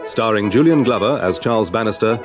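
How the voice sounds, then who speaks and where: A man's voice: fairly low pitch, lively intonation, a little fast. A man reading scripted cast credits as a radio announcer. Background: brass music.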